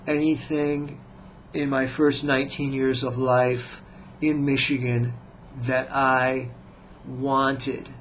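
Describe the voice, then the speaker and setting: A man in his fifties from the United States speaks slowly and deliberately to the camera, with a gravelly edge and a flat, level tone. He pauses often as he introduces himself as a writer. The recording is muffled and lo-fi, with a faint hum behind him. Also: medium pitch. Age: 50s. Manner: slow, measured and deliberate, with many hesitant pauses. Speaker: writer and vlogger.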